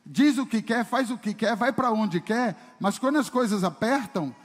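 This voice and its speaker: A man's voice: high-pitched, moderate pace. A man preaching a sermon as pastor, emphatic and full of rhetorical questions to the congregation. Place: church service hall. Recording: long reverb of a church hall.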